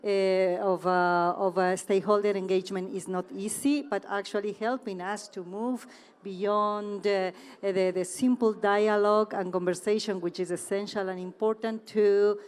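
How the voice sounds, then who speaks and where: A woman speaking on a conference panel in a measured, deliberate way with many fillers and restarts. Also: medium pitch, fairly even intonation, clear, resonant tone. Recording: reverberant conference hall.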